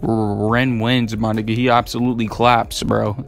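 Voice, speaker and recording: A man's voice: lowish voice, flat delivery, unhurried, gravelly. Slangy young man over music. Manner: slangy, profane, hyped, emphatic. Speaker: video creator debating anime battle matchups. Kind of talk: casual, unscripted rambling commentary.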